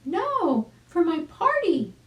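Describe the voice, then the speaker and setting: A woman's voice: very high-pitched, huge pitch swings, slow pace, a bit bright, voice pushed up, heavily acted. A woman voicing an eager, friendly young chicken girl in a storybook, gushing and exclaiming. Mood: excited, eager. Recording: roomy home recording.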